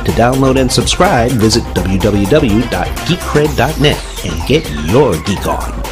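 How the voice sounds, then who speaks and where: A man's voice: medium pitch, animated, unhurried. A man announcing a scripted podcast promo. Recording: guitar music behind the voice.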